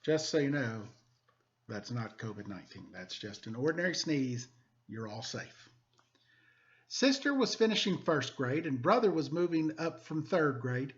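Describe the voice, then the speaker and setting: A man's voice: mid-range voice, expressive, moderate pace, muffled recording. A man hosting story time, reading a picture book aloud to children with playful, self-deprecating, chatty asides.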